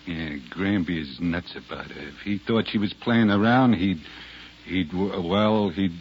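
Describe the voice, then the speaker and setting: A blunt gangster boss from a 1940s radio play. His voice is low, his talk slangy and halting as he hunts for words. The old recording is muffled. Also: husky. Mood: bossy, fumbling. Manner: tough, slangy, halting, ungrammatical. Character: blunt, inarticulate male racketeer boss. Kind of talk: 1940s radio detective drama acting.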